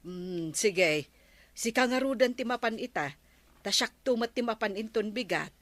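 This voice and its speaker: A woman's voice: medium pitch, expressive, normal speed, fairly bright tone, no room sound, natural voice. A woman with an Ilocano accent playing a patient, supportive village woman in a radio drama. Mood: concerned.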